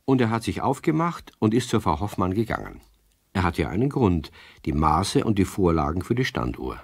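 Kids story narrator, lowish voice, really lively melody, dry studio sound. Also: male voice, moderate pace, gravelly, husky, dry recording, exaggerated voice. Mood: measured storytelling. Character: storyteller of a children's tale.

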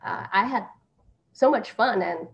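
A woman's voice: mid-range voice, lively intonation, a little slow, vocal fry, dull audio. A woman in her thirties from Austria, born in Taiwan, giving conversational interview answers as an actress. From born in Taiwan, grew up in Austria. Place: small plain room indoors. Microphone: computer mic on a video call. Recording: video call with background noise.

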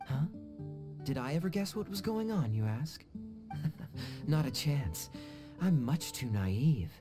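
A suave, teasing young man, a knight in a video game. His delivery is wry and swings expressively. Plucked guitar plays clearly behind him. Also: on the high side, big pitch swings, takes it slowly. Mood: amused, teasing. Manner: smooth-talking, wry.

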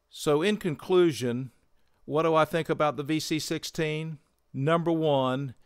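A man hosting a synthesizer tutorial, explaining gear in a measured, methodical way on a close microphone. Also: medium pitch, clean tone, no room sound. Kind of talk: explanatory product tutorial narration.

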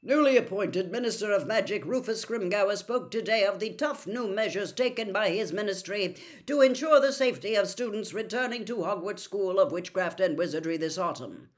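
An older woman reads a novel aloud from a small room lined with bookshelves, her voice pitched in an ordinary middle range. She reads expressively and swells into a louder, declaiming tone for quoted notices. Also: normal speed. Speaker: storyteller.

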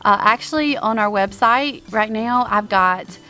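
A woman's voice: fairly high pitch, measured pace, bright, forceful tone. A woman in her thirties vlogging outdoors on a lawn, talking casually with many ums while showing products to a handheld camera. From American. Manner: chatty, many ums, upbeat about products. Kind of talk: casual product show-and-tell. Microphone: handheld camera at arm's length.

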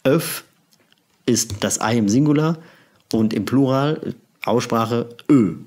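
A young man, a native German speaker, hosting a relaxed podcast conversation and telling stories with lively imitations. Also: mid-range voice, takes it slowly. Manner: animated storytelling, imitating phrases. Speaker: podcast host. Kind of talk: casual conversation about language learning.

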